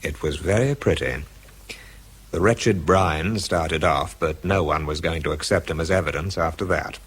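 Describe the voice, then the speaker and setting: Low, husky, wry narrator. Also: male voice, normal speed, a bit bright, full character voice. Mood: dry recounting. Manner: wry, dry, understated. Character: dry, wry young scientific aide narrating. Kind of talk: first-person radio drama narration.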